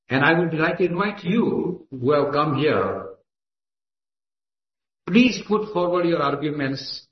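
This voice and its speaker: A man with an Indian accent, a native Gujarati speaker, giving an emphatic, declamatory speech at a meeting. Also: fairly high pitch. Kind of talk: impassioned speech. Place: assembly hall. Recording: echoey lo-fi hall recording.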